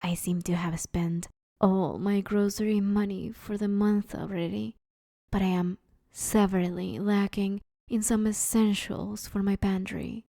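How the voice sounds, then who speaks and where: Mellow, awkward villain woman played by an actress. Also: medium pitch, flat delivery, measured pace, vocal fry, dry recording, studio quality. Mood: polite, wheedling. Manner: awkward, wheedling, hesitant conversational delivery. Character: broke, sheepish but scheming villain woman begging her neighbor. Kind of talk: roleplay audio drama, one-sided conversational comedy.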